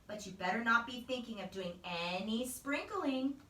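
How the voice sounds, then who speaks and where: A woman's voice: a little high, lively intonation, moderate pace, sharp tone. A woman voicing a firm but kindly storybook mother cat who scolds her kittens. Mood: indulgent warning. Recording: roomy, distant microphone.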